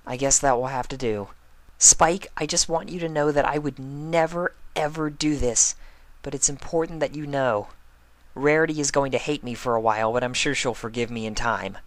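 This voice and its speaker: A woman voicing a bubbly, excitable young female pony with gushing, emphatic, childlike talk in a story reading. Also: very deep voice, normal speed, no room sound, voice pushed up, rough recording. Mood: earnest.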